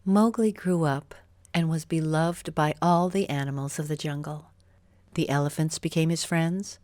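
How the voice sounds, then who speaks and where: Slow-paced woman narrating a children's story. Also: deep voice, dry recording. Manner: expressive, carefully phrased storytelling.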